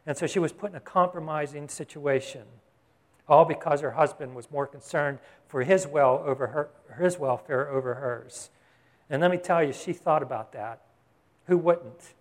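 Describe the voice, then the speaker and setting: A man delivering a Bible-teaching sermon in a relaxed, conversational manner. His voice sits a little higher than most men's. He keeps checking in with his listeners through short questions. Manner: conversational preaching, asks the congregation "Got it?". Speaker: pastor.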